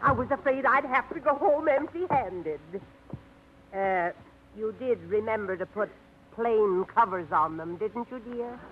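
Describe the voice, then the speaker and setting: A woman's voice: on the high side, expressive, measured pace, noisy background. An actress playing a prim, fussy middle-aged woman in a 1950s radio drama. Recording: tinny old radio broadcast.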